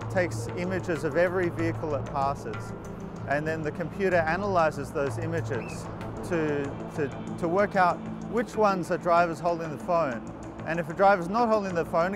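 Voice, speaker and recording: A man in his forties speaks very slowly and a bit high-pitched, outdoors by a road. Background music plays clearly under him, and he often repeats words as he gathers his thoughts. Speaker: interviewee. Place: outdoors beside a motorway.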